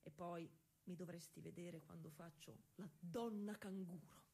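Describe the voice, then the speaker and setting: An actress playing a proud, eager young woman racing cyclist of the early 1900s in a conversational, insistent stage monologue, with a husky voice. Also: fairly low pitch, steady tone, unhurried, warm tone, a little hiss or hum. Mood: proud, boastful. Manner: explanatory, conversational, insistent with repeated checks. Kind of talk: theatrical monologue addressed to an unseen listener.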